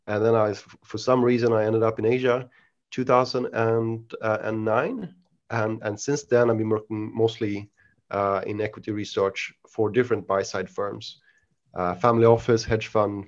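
A man with a Swedish accent, a podcast guest who speaks in halting, casual answers full of restarts and fillers. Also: fairly low pitch. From Sweden. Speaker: podcast guest, investment researcher. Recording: dull lo-fi audio.

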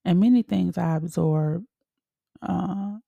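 A woman's voice: lowish voice, slow pace, creaky, mellow, no echo, dull audio. A woman hosting her podcast in a casual, chatty way, a bit congested from a sinus infection and laughing often. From Chicago, USA. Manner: chatty, laughs often, calls listeners honey and y'all. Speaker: podcast host. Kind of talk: casual chat.

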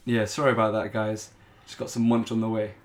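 Fast-talking man in echoey room. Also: lowish voice, little pitch movement. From England. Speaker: podcast co-host, medical student. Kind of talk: casual chat.

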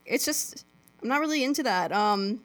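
A woman's voice: a little high, expressive, normal speed, background noise. A woman from New Jersey talking casually as an interview guest, laid-back and matter-of-fact. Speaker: podcast guest. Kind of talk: casual chat.

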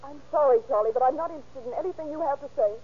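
A woman's voice: high voice, very fast, lightly acted. An American actress playing a weary, firm woman fending off a spurned lover in a 1930s radio drama. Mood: exasperated. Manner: exasperated, melodramatic. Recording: dull, muffled 1930s radio recording.

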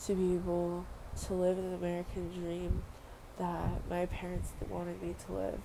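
A woman's voice: fairly low pitch, completely flat delivery, unhurried, creaky. A young woman from Western Samoa speaking earnestly in a personal vlog monologue, repeating phrases and using casual fillers. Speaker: vlogger. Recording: noisy background ambience.

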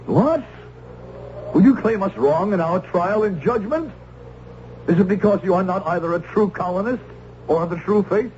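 An actor playing a stern, righteous Puritan reverend in an old-time radio drama, speaking in formal, archaic, moralizing phrases. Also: male voice, high voice, lively intonation, moderate pace, long pauses, a little mellow. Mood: stern, indignant. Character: stern zealous Puritan reverend, righteous witch-hunting preacher. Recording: muffled, noisy old radio broadcast.

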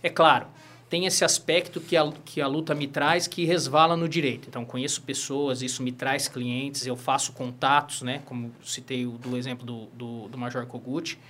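A man's voice: medium pitch, moderate pace. A Brazilian man in his thirties, a lawyer and jiu-jitsu teacher, chatting as a guest and telling stories with constant tag questions. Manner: animated storyteller, frequent "né?" tags. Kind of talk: casual interview chat.

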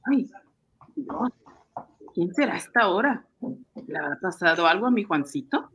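A woman acting an affectionate, worried wife and mother in an amateur radio soap, in an expressive, melodramatic way. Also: mid-range voice, normal speed, a little hiss or hum. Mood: wistful, anxious.

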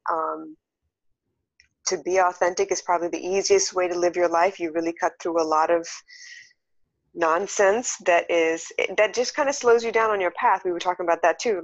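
A woman's voice: fairly low pitch, no room sound. A woman from Singapore who grew up in several countries, talking casually and reflectively as a podcast guest. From born in Singapore, raised across several countries. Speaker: podcast guest, emotional intelligence coach. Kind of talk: casual, reflective interview talk. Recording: dull, slightly muffled lo-fi audio.

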